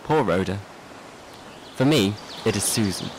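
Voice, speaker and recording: A man's voice: lowish voice, lively intonation, a little slow, noisy background. An actor playing an intense, slightly drunk middle-aged man in a literary radio drama, probing and rhetorical. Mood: brooding. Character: tipsy, intense middle-aged man, needling old friends.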